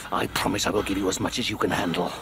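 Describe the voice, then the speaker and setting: A man playing a seasoned Roman commander in a video game, tough and measured, with fatherly authority. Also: low voice, steady tone, vocal fry. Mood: stern welcome. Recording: loud music behind the voice.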